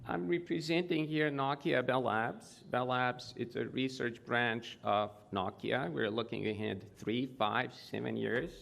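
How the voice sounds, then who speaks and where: A man with a Serbian accent, a native Serbian speaker, giving a measured technical talk at a conference. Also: medium pitch, creaky, fairly bright tone. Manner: measured, explanatory, occasional hesitations. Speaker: conference speaker, research lab head. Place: conference hall.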